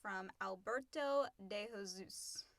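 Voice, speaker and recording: A woman's voice: medium pitch, slow, vocal fry, no room sound. A woman co-hosting a casual podcast Q&A, reading listener questions aloud and chatting about them.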